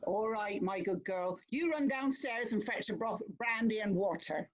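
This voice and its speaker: A woman with an English accent playing a brisk, consoling male court clerk in a theatrical farce, deferential and chivvying. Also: mid-range voice, lo-fi sound, lightly acted. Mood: brisk reassurance.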